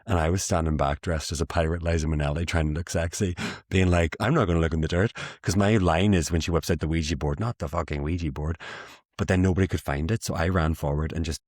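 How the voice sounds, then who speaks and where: Deep-voiced Irish man telling lively stories. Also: quick pace, no echo, clean studio sound. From Ireland. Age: young adult. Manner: animated storyteller, laughs often, acts out quoted lines. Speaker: guest theatre maker. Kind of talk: casual interview anecdotes.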